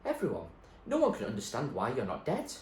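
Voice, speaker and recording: A man's voice: medium pitch, wildly swooping pitch, fairly quick, a little mellow, voice pushed up. A man reading aloud who voices an odd old man who rambles and speaks in riddles, in an echoey room. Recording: echoey room, faint noise.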